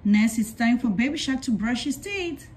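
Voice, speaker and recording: A woman reading a children's picture book aloud in a deliberate, simple storytelling way for young children. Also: fairly high pitch, lively intonation, darkish tone. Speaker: storybook reader.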